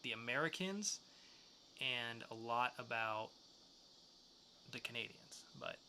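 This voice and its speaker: A man's voice: medium pitch, expressive, takes it slowly, sharp tone, a little hiss or hum. A man hosting a podcast, casually reviewing comic books with enthusiastic, loose, slangy asides. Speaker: podcast host.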